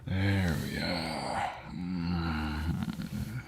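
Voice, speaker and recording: Casting: male actor as devoted, flirty husband for ASMR romance audio; deep, crackly, whispery voice, tender and lingering delivery. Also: steady tone, full character voice. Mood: cozy, affectionate. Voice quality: low, breathy, gravelly murmur, often dropping to a whisper. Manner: tender, slow, sighing delivery. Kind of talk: ASMR romantic roleplay, intimate monologue.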